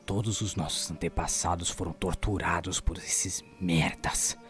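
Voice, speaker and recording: A man's voice: low voice, steady tone, measured pace, fairly bright tone. An actor playing an injured, desperate, foul-mouthed Brazilian man in an audio drama, urgent and emotional. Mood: bitter, subdued. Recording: music over the voice.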